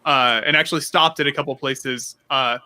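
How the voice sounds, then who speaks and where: A man's voice: mid-range voice, steady tone, moderate pace, sharp tone. A man co-hosting a live video-call stream, easygoing and friendly, with lots of "uh" fillers and light teasing. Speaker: co-host. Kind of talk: casual live-stream chat.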